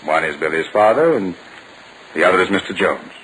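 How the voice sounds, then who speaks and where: An actor playing a patient, reasonable middle-aged father in a 1940s radio sitcom, measured and firm, on a noisy vintage broadcast. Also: low-pitched, lively intonation, a bit bright.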